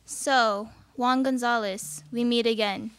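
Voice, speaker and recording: A woman's voice: on the high side, steady tone, takes it slowly, fairly bright tone, smooth. A woman playing a matter-of-fact detective questioning a thief in a live student radio play. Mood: businesslike.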